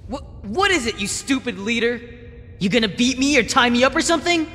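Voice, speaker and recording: A man voicing a panicked, hostile trapped survivor in an anime fan dub, shouting confrontationally. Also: very high-pitched, expressive, a little fast, exaggerated voice. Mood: defiant, furious. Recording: echoey hall, music behind.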